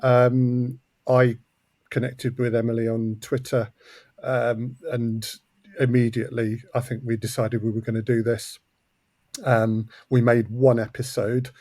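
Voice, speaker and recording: A man's voice: mid-range voice, level tone, measured pace, darkish tone, no echo. A man speaking as a podcast guest in a conversational interview, choosing his words thoughtfully and with some hesitation. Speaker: podcast guest, audio drama producer.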